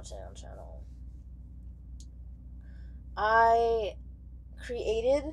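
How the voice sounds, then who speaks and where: A young woman talking casually and candidly to camera in a halting way, searching for words as she goes. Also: mid-range voice, a little mellow, a little hiss or hum, rough recording. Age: young adult. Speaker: truck driver vlogger. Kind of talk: casual vlog talk to camera. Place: small padded truck sleeper bunk, indoors.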